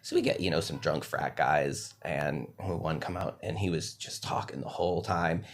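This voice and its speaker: A man's voice: a bass voice, moderate pace. A man talking casually as a comedian guest on a podcast, telling anecdotes in a conversational, rambling way.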